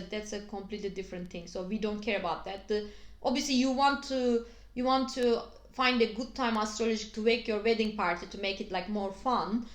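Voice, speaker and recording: A woman with a Turkish accent, a native Turkish speaker, explaining astrology to her channel's viewers, pausing often on fillers and restarting her phrasing. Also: on the high side. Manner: hesitant, frequent fillers and restarts. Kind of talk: casual explanatory video. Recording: echoey room.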